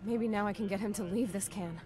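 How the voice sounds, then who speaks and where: A woman's voice: medium pitch, fairly quick, close to an everyday voice. A woman playing a weary, tough young vault dweller in a video game, blunt and informal as a protective sister. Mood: relieved, determined. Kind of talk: video game dialogue acting. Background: game music.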